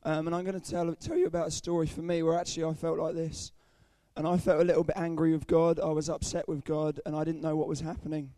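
A young man with a croaky, under-the-weather voice giving an earnest personal testimony at a church service, with frequent ums. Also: on the high side, little pitch movement, fast talker, warm tone, no room sound. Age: young adult. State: hoarse, croaky voice from illness. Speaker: student speaker at a church service.

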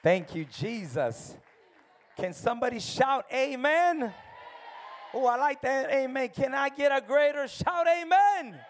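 A fiery guest preacher, a man from El Salvador who grew up in America and speaks with an American accent. His voice sits high, and he works the church, calling for amens and rising to shouts at the peaks. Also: expressive, measured pace, a faint crowd, a little hiss or hum. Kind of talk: energetic church sermon.

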